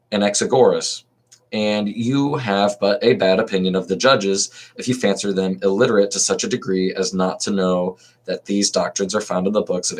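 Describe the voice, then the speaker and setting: A man reading aloud as an old male philosopher defending himself at trial, in an even reading voice with small restarts. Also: on the low side, lightly acted.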